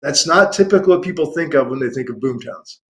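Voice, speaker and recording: A man's voice: fairly high pitch, lively intonation, fast talker, fairly bright tone. A man from San Francisco, a guest author in a casual interview, speaking thoughtfully with small restarts. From San Francisco, USA.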